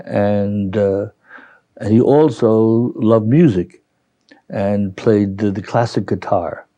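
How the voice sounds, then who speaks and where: An older man with a Brooklyn accent reminiscing as an interviewee, halting and rambling as he recalls. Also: fairly low pitch, dark tone, no room sound, highs cut off. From New York, United States. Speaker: interviewee, retiree. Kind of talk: reminiscing in an oral history interview.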